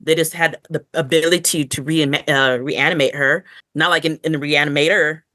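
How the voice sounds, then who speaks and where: A woman co-hosting a podcast in casual review chat, telling things in an expressive, story-like way. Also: deep voice, a little fast, dry recording. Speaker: podcast co-host.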